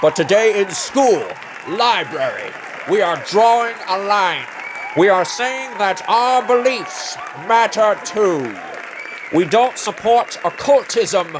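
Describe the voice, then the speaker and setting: A man's voice: sits high, expressive, slow, a crowd in the background, noisy background, pitched above the performer's own voice. A man voicing a zealous, folksy politician who preaches in a sanctimonious, oratorical way, in a dramatic comic reading. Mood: fervent, alarmed. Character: zealous book-burning male politician giving folksy preaching speeches.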